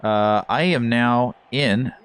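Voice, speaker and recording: A man giving deadpan, mock-dignified commentary on his own video game stream in a hushed golf broadcast style. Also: mid-range voice, slow pace, crowd noise.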